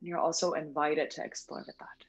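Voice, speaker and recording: A woman with a slightly low voice leads a guided relaxation as a yoga instructor. She speaks gently and soothingly, leaving long, unhurried pauses. Faint music plays behind her. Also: level tone, slightly rough. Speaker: yoga nidra meditation instructor. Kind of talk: guided meditation. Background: background music.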